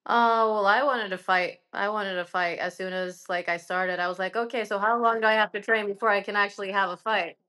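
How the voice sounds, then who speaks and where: A woman's voice: medium pitch, fairly even intonation, fairly quick, few pauses, pushed and bright. A woman in her twenties with a Norwegian accent, a guest on a video call, answering easily, laughing often and saying "like" a lot. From Norway. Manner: easygoing, laughs through answers, uses "like" a lot. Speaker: guest MMA fighter. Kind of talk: casual interview answers. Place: indoor room at home.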